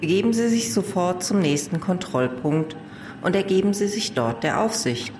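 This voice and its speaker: A woman's voice: on the low side, lively intonation, moderate pace, a little mellow, slight background noise, natural voice. Woman as a formal spaceship computer voice. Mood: impersonal. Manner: formal, impersonal. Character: impersonal female station computer voice giving warnings. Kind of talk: automated announcement in sci-fi audio drama.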